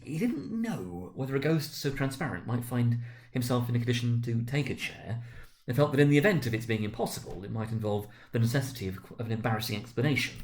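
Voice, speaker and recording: Theatrical male storyteller, medium pitch. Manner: theatrical, expressive. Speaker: narrator. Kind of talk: dramatic literary narration.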